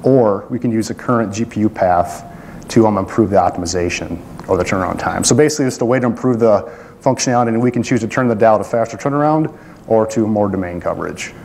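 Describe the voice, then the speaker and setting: A man with a fairly low voice gives a loose, self-deprecating technical presentation in a conference room. He restarts often and hedges, with a faint hum of room noise behind him. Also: quick pace, darkish tone. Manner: casual, self-deprecating, many fillers and restarts.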